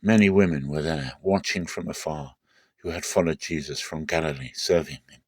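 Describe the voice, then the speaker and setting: Low-voiced man reading scripture. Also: normal speed, breathy edge, dry recording. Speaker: narrator of a Bible reading.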